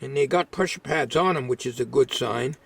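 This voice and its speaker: A man giving an informal, unscripted hands-on repair demonstration, thinking aloud in a halting way. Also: medium pitch, creaky, husky, dry recording.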